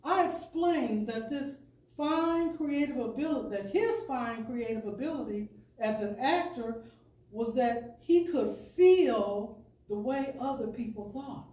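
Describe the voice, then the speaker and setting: A woman giving a deliberate, expressive, oratorical reading of an inspirational talk to a congregation. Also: fairly high pitch. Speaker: speaker, professional storyteller. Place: spiritual center service, indoors. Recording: echoey room, distant pickup.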